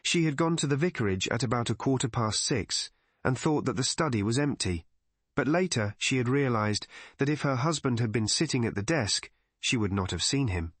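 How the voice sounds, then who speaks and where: A man's voice: mid-range voice, expressive, moderate pace, breathy edge, dry recording. A man reading a scripted mystery story as an audiobook narrator.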